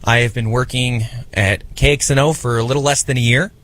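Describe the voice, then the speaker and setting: A man's voice: mid-range voice, fairly even intonation, fairly quick, fairly bright tone. A man in his twenties from Iowa with an American Midwestern accent, talking casually as a sports radio personality. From Iowa, United States. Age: 20s. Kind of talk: casual chat.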